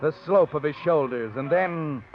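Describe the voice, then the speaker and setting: A man's voice: fairly high pitch, moderate pace, background noise, close to an everyday voice. An actor playing a knowing, taunting narrator in 1940s radio suspense style, needling a guilty woman. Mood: suspenseful. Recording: dull old radio recording, music behind.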